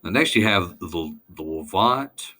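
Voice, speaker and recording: A man lecturing informally over slides, halting and deliberate, putting weight on key words. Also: deep voice, animated, bright, forceful tone.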